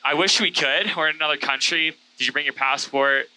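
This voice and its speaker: A man's voice: on the high side, brisk, bright, forceful tone, low-quality recording. A man, a host and pilot, chatting casually and explaining things in an easygoing, informal way. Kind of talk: casual chat and hands-on instruction. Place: small airplane cockpit in flight.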